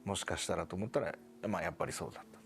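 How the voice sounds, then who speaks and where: Low, gravelly man speaking very fast. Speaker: doctor being interviewed. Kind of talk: interview answer. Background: faint music.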